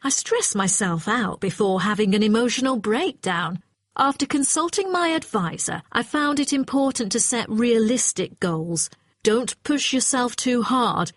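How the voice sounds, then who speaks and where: A woman's voice: fairly high pitch, expressive, measured pace, no echo, studio quality. A young woman playing a conscientious, assertive nursing student in a scripted exam dialogue.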